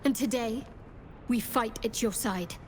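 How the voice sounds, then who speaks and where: An actress playing a proud female dark warrior in a fantasy game, solemn and resolute. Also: a little high, measured pace, a little hiss or hum, close to an everyday voice. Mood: proud resolve. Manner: solemn, declaring.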